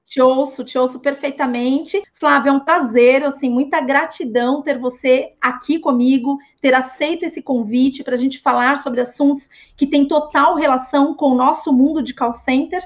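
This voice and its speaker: A woman's voice: high voice, steady tone, moderate pace, hardly any pauses. A woman in her forties hosting a live leadership interview over a webcam video call, encouraging and grateful toward her guest. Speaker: host of a leadership live stream. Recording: dull, muffled video call audio.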